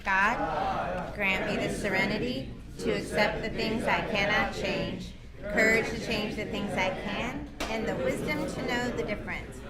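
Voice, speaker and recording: A woman's voice: mid-range voice, measured pace, over a noisy crowd. A woman chairing a recovery meeting, introducing the next speaker in a friendly, informal and teasing way.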